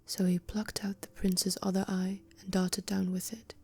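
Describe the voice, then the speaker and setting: A woman whispering a fairy tale aloud, in a slow, even storytelling manner. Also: medium pitch, soft background music. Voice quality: whispering throughout. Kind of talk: whispered bedtime story reading.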